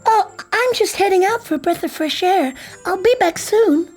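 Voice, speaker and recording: A man's voice: very high voice, normal speed, soft background music, pitched above the performer's own voice. A man voicing a polite, earnest, well-mannered little girl in a fairy tale reading.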